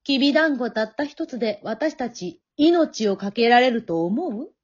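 A vain, coquettish pheasant madam voiced by a woman in a comedy radio drama. She speaks in a high, womanly voice with gentle condescension. The sound is a little dull. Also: expressive, normal speed, husky. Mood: coaxing. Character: proud, coquettish female pheasant, a worldly bar hostess. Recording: dull lo-fi audio.

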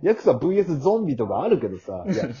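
Animated western Japanese man, slightly husky. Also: fairly high pitch, rapid-fire, muffled recording. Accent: western Japanese regional. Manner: teasing, incredulous retorts. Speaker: podcast host. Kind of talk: casual comic banter.

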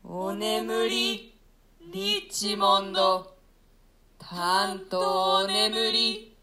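A woman's voice: mid-range voice, very animated, very slow, gravelly, sharp tone, faint music behind, full character voice. A woman voicing the ghosts of two murdered young princes in a radio play reading, in a raised, chanting voice. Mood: tender.

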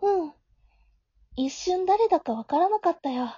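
A woman playing a flustered, caring high school girl who narrates her own story in an amateur audio drama, in a soft, girlish, expressive way. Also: unhurried, no room sound. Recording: dull, lo-fi home recording.

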